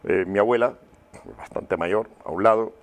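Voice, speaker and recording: A man's voice: lowish voice, normal speed. An older man from southern Spain, telling personal memories as an interview guest in a hesitant, reflective way. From Huelva, Andalusia, Spain. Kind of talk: personal testimony in conversation.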